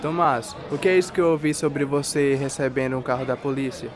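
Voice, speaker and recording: A man's voice: medium pitch, fairly quick, natural voice. Guy acting nosy teasing bar buddy, radio drama, crowd and music going on behind. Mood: curious, teasing.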